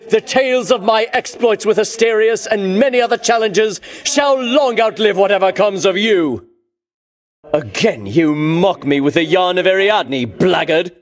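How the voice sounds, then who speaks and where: An actor voicing a pompous, boastful dead Greek hero-king for a video game, with ringing, declamatory delivery and grandiose archaic diction. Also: sits high. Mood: indignant. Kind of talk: theatrical video game voice acting.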